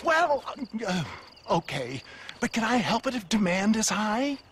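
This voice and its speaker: High-voiced snake-oil salesman, slow showy patter, chuckly and slippery. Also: very animated, a bit bright, slight background noise, heavily acted. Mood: defensive. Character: smooth-talking male snake-oil huckster, showy and evasive. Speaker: traveling tonic salesman. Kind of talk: video game cutscene voice acting.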